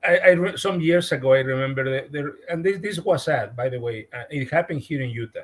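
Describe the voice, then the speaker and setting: A man with a Spanish-speaking Latin American accent, a real estate agent guest telling a story in an animated podcast interview. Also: medium pitch, fairly quick. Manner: animated, retells conversations with emphasis.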